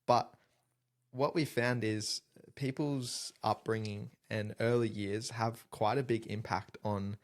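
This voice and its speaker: A man hosting a podcast, posing questions in a measured, scene-setting way. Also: fairly low pitch, steady tone. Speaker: podcast host. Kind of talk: interview questions.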